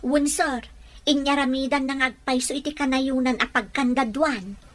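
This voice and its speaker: A woman's voice: high-pitched, steady tone, moderate pace, natural voice. A woman with a Filipino accent playing an anxious, respectful household helper in a radio drama, deferential and pleading. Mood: deferential, curious.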